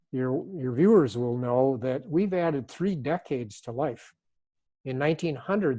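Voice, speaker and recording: An older man speaks as an author being interviewed, thinking aloud and circling back over his own phrases. His voice sits at an ordinary middle pitch, and his delivery stays reflective. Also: a little mellow. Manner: thoughtful, repeats and restarts phrases. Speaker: author and guest.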